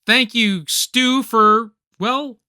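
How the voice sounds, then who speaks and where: An earnest party host in a radio play, acted by a man. The voice is bright and sharp with little room sound, and the delivery is that of someone addressing friends with gratitude. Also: very high voice, very slow, smooth, no echo, clean studio sound, full character voice. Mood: grateful, excited.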